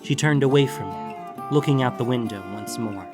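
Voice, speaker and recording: A man's voice: medium pitch, moderate pace. Brief: male narrator for a fantasy novella, mid-range voice, scripted storytelling over faint music.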